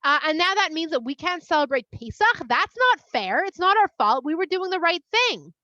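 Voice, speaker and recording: Lady putting on a couple of guys pleading their case, earnest, bright high voice. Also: very animated, normal speed, dry recording, dull audio, full character voice. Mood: aggrieved. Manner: earnest protesting.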